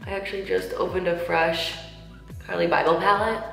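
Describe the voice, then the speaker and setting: A woman in her thirties chatting through a makeup tutorial, slightly nasal from a cold, with lots of "like" and soft asides. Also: on the low side, fairly even intonation, moderate pace, creaky. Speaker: beauty vlogger. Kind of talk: casual makeup tutorial chat. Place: large tiled bathroom, indoors. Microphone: camera mic at close range, seated facing camera. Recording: echoey bathroom with faint music.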